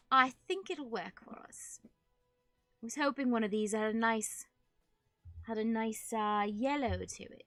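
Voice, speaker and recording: A high-voiced woman streams a building game in a put-on British accent. She talks casually and playfully, with affected genteel phrasing, addressing herself and her viewers. The sound is close and dry. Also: dry recording. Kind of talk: casual live game commentary, decorating rooms.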